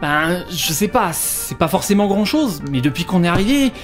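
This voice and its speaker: A man's voice: sits high, very animated, moderate pace, soft background music. A man playing a serious, questioning holidaymaker who suspects something odd, in a comedy audio drama. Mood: suspicious. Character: suspicious, serious holidaymaker probing strange accidents.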